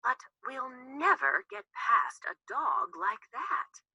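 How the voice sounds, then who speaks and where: A woman's voice: high-pitched, sing-song, slow pace, bright, forceful tone, slightly rough, no room sound, dull audio, exaggerated voice. Woman as a worried mother hen.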